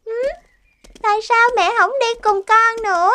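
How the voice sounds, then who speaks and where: A woman's voice: very high-pitched, steady tone, a little slow, bright, forceful tone, heavily acted. A woman voicing a sad, pleading little girl in a cartoon, with plaintive childlike whining. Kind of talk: Vietnamese cartoon dubbing.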